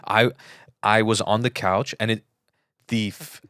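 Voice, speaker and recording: Low-voiced man telling stories, emphatic. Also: fairly even intonation, measured pace, a bit bright, no room sound, studio quality. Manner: emphatic, often repeats words for stress. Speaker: podcast co-host. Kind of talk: casual chat, personal storytelling.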